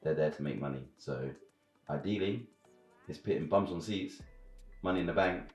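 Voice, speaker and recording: A man's voice: deep voice, moderate pace, darkish tone, reverberant, faint music behind. A British man giving his opinions on films and games in a casual, slangy chat as a reviewer. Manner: informal, slangy. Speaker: film and game reviewer.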